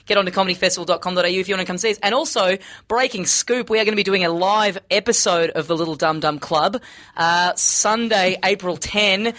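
A high-pitched man hosts a laid-back comedy podcast from a small studio. His voice is bright and sharp, and he talks in a chatty, self-deprecating way. He sits close to a studio microphone. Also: fairly quick. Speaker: podcast host. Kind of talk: casual comedy podcast chat.

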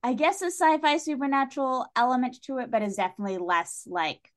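A woman's voice: sits high, a little fast. A woman talking casually and animatedly about books as a podcast guest, with informal, salty language. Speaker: guest on a book discussion podcast. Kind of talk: casual chat, literary discussion.

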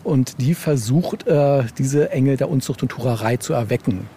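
A man's voice: medium pitch, moderate pace, dark tone, a little hiss or hum. A man speaking as a novelist in a casual podcast interview, explaining in a rambling way with frequent tag questions. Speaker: novel author being interviewed.

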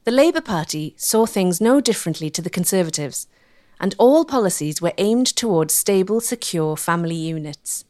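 A woman's voice: lowish voice, lively intonation, normal speed, darkish tone, no room sound, studio quality. A woman delivering scripted documentary narration as the narrator.

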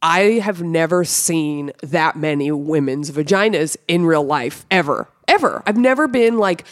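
A woman hosting a podcast, telling a story in a blunt, bawdy, animated way, congested from a cold. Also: deep voice, unhurried. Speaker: podcast host. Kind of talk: casual chat.

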